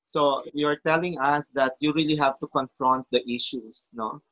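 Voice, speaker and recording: Male interview host, deliberate, dry close sound. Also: fairly high pitch, fairly even intonation, fairly bright tone, dry recording, low-quality recording. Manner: careful, recaps guest's points, hesitant fillers. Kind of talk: interview questions.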